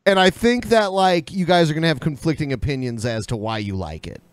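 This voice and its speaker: A man's voice: a little high, lively intonation, fairly quick, clear, resonant tone. A man co-hosting a podcast, chatting casually in an informal, rambling way. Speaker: podcast co-host.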